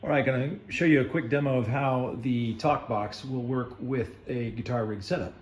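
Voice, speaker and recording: A man's voice: mid-range voice, moderate pace. Man casually narrating DIY build, background noise. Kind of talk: casual hands-on project narration.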